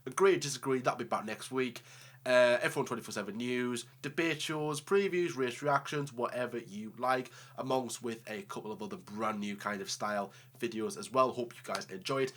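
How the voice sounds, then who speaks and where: A man's voice: mid-range voice, moderate pace, a bit bright. Man with a cold, chatty. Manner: chatty, joking. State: has a cold, tired. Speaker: host. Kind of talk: casual sign-off chat.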